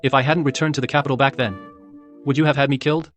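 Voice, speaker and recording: A man playing a resolute, principled general in a dubbed motion comic, speaking in measured, terse commands. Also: medium pitch, steady tone, faint music behind, close to an everyday voice. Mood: grave, resolute. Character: resolute male general, stern, principled, quietly defiant.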